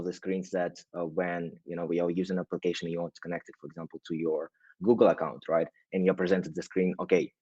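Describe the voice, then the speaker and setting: A man from Russia, a guest on a show, explaining things in a relaxed way and often checking in with "right?". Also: deep voice, slightly rough, no room sound, muffled recording. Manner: hedging, frequent "right?" tags and restarts. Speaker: guest, automation agency founder. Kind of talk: casual explanatory interview talk.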